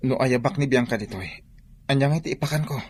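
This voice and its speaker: A man's voice: medium pitch, fast, a little mellow, slightly rough, lightly acted. An actor playing a troubled, dejected man short of money in an Ilocano radio drama, speaking in a downcast way. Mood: worried, glum.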